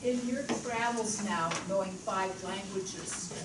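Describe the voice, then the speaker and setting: A woman's voice: mid-range voice, takes it slowly. A woman in the audience asks a question, hesitating and restarting her phrasing as she goes. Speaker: audience member asking a question. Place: lecture hall. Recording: echoey hall, voice from far off, loud background noise.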